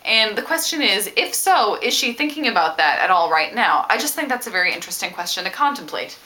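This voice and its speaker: A woman's voice: medium pitch, very animated, a little fast, bright, forceful tone, rough recording. A woman book vlogger talking casually to her viewers in a chatty, analytical, emphatic way. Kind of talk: casual book discussion to camera.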